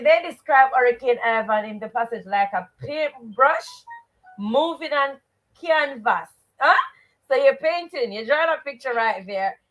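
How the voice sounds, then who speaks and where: A woman with a Jamaican accent and a high voice leads a live reading lesson. She speaks slowly and emphatically, prompting listeners with questions as a teacher would. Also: poor audio. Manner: expressive, emphatic, repeats rhetorical questions and stock phrases. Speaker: teacher and host of a live read-aloud show.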